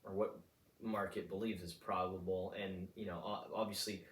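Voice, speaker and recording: A man's voice: deep voice, level tone, normal speed. A man in his twenties, talking in a rambling casual monologue full of fillers and restarts. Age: 20s. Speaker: vlogger. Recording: roomy echo, distant mic.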